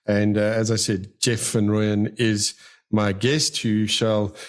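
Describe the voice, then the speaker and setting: A man's voice: on the low side, flat delivery, slow. A man in his fifties hosting a radio interview, talking in a rambling way with many fillers and restarts. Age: 50s. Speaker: radio host. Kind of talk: casual interview chat.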